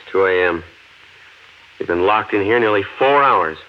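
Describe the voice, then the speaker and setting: An actor playing a level-headed, inquisitive man in a 1950s radio drama, speaking earnestly and deliberately. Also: lowish voice, lively intonation, close to an everyday voice. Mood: pondering. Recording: noisy, muffled 1950s radio recording.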